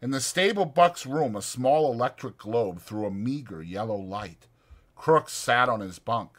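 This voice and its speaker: A male narrator reads literary prose for a dramatized audiobook. His pitch moves in a lively, expressive way as he delivers the scripted narration. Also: unhurried.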